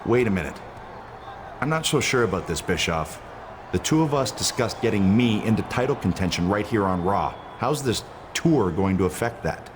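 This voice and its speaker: A man's voice: fairly low pitch, animated, normal speed, lightly acted. A man playing a stubborn, ambitious wrestler with a wary temper in a video game. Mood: wary, firm. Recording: clear background ambient noise.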